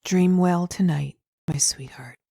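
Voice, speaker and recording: A woman acts out a loving young child's mother in a comic dub. She coos softly and unhurriedly, her low-set voice mellow and a little creaky. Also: measured pace, no echo, clean studio sound, heavily acted. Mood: tender. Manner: soft, affectionate, cooing. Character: tender, doting mother of a small girl.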